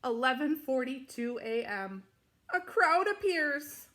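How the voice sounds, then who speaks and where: A woman reading a children's picture book aloud in an expressive, deliberate way with dramatic emphasis. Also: high voice. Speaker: storybook reader.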